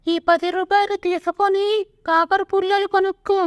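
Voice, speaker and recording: A woman plays a royal mother in exile, stern and forever nagging. Her pitch is extremely high and the recording is very dry, in broad comic cartoon style. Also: little pitch movement, normal speed, no echo. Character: scolding, exasperated queen mother living in exile. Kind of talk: Telugu parody cartoon dubbing.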